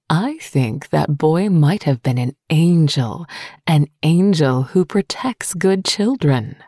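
A woman playing a kind, calm, soothing mother in a children's storybook drama. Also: deep voice, slow, slightly rough, no echo, clean studio sound, exaggerated voice. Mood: tender. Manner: gentle, soothing.

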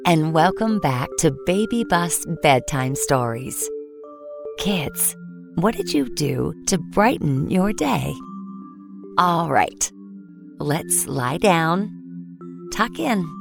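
A woman's voice: fairly low pitch, creaky. A woman hosting a children's bedtime podcast with scripted, soothing lines addressed directly to young listeners. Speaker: host. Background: music.

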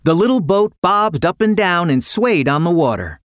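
A woman narrating a scripted children's story in an expressive, dramatic way. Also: fairly low pitch, moderate pace, no echo, studio quality.

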